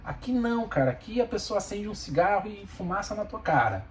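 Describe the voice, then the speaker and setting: A man's voice: sits high, big pitch swings, brisk, a little hiss or hum. A Brazilian man speaking Portuguese with a Brazilian accent, a bus driver giving his plain-spoken, opinionated views in a casual vlog and repeating himself for emphasis.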